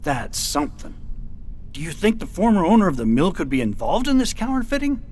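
A man's voice: high-pitched, big pitch swings, fairly quick, a little mellow, slight background noise. A man acting in an audio drama as an agreeable, inquisitive deputy in a western, with a questioning way of talking. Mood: curious. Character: willing male western deputy, inquisitive sidekick to marshal.

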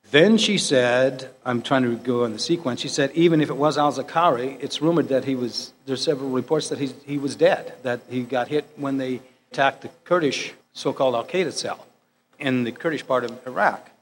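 A man giving a talk to an audience, retelling news reports step by step in an animated way. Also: mid-range voice. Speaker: speaker at a public talk. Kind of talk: lecture to an audience. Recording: long hall reverb.

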